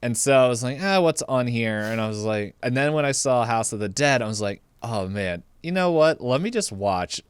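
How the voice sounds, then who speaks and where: A man's voice: medium pitch, animated, normal speed, hardly any pauses, clean tone, no room sound. A man co-hosting a podcast, chatting casually about movies and retelling things in a jokey way with laughter in his speech. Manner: jokey, laughs through his own telling. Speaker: podcast co-host.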